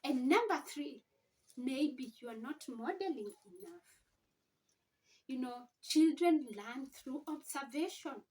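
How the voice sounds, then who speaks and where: Female instructor for home-learning video: high voice, unhurried explanatory delivery, rhetorical questions, recorded a little away from the mic. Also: lively intonation, a little mellow. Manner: deliberate, sounds out letters and words, asks rhetorical questions. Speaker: teacher giving a home reading lesson. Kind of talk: informal instructional explanation to parents. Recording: slightly distant microphone.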